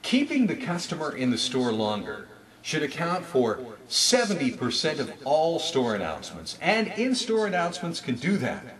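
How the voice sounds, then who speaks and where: A man presents like a trainer, with emphatic salesman delivery. His pitch swings widely in a very animated way, and there is clear crowd noise behind him. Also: moderate pace. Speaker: store announcement trainer. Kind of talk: instructional talk with demonstrated announcement scripts.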